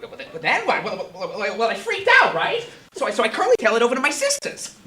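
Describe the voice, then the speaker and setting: A woman's voice: sits high, rapid-fire, heavily acted. Woman playing a frantic, stammering cartoonish pig. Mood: frantic, agitated. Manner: stammering, repeats words, excitable. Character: frazzled, jittery talking pig, first of three siblings. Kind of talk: comic stage-play acting. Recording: echoey room.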